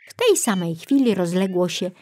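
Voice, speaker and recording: A woman narrating a children's storybook in an expressive, deliberate style. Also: lowish voice. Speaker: audiobook narrator.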